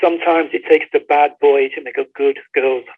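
Higher-pitched husky man on a lo-fi line. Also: fairly even intonation, moderate pace. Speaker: author, interview guest. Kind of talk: conversational book interview. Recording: dull, lo-fi phone-like line.

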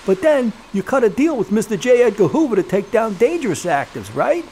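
A man's voice: high-pitched, big pitch swings, a little fast, a little mellow, a little hiss or hum. A man playing a sly, menacing American crime boss in a period gangster audio drama, full of streetwise slang and theatrical glee. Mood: sly probing.

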